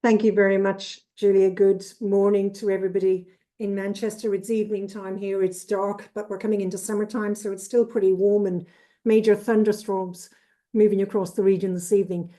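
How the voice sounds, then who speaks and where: A woman in her fifties with an Irish accent, giving a brisk, businesslike webinar presentation as a trade consultant over a video call. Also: mid-range voice, flat delivery, warm tone. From Ireland. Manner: brisk and businesslike, lists with "et cetera".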